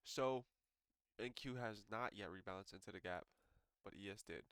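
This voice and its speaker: A man's voice: on the low side, normal speed, a bit bright, clear, resonant tone, no room sound. A man with a congested, stuffy voice casually walking through trading charts, talking loosely with lots of filler words. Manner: loose and conversational, frequent "um" and "so". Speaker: day trader reviewing charts. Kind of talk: casual screen-share market walkthrough.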